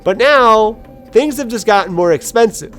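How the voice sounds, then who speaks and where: A gaming video host giving casual gameplay commentary, telling personal stories in a rambling, halting way with quoted asides. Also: mid-range voice, lively intonation, a bit bright. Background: game music.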